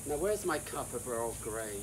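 A man in his sixties or older reads a whimsical storybook aloud in a theatrical, deliberate manner. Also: medium pitch, sing-song. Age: 60s or older. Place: outdoors in a sunny garden. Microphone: camera a few feet away. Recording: outdoor hiss with insect noise.

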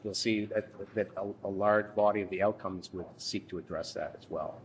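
A man in his thirties leading a workshop from a lectern in a conference room, speaking haltingly with frequent restarts. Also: lowish voice, steady tone, slight background noise, low-quality recording. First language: English. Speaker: workshop instructor. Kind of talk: workshop presentation.

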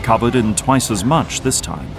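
A man's voice: mid-range voice, lively intonation, moderate pace, creaky, soft background music. A man narrating an action audio drama from a script.

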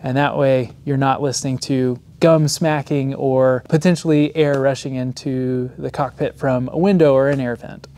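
A man's voice: medium pitch, steady tone, normal speed, hardly any pauses, darkish tone. A man in his thirties giving a plain-spoken instructional presentation as a flight instructor, recorded on a lapel mic indoors. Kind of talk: scripted instructional presentation to camera. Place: indoor studio set, seated.

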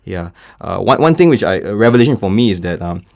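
A man's voice: on the low side, lively intonation, fast talker, creaky, a little mellow. A man hosting a radio interview in a studio, reflecting thoughtfully and putting questions to his guests. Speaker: radio host. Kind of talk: conversational interview.

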